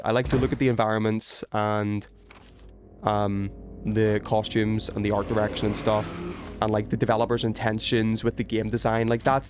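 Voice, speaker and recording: A man chatting casually as a game streamer, rambling in a self-effacing, sweary way. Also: medium pitch. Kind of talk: casual chat while streaming gameplay.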